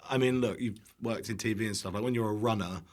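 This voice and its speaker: A man's voice: lowish voice, quick pace. A young British man telling anecdotes casually as a podcast guest, rambling, breaking off mid-sentence and acting out what people said. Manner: rambling, self-interrupting, acts out quotes. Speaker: podcast guest, online cook.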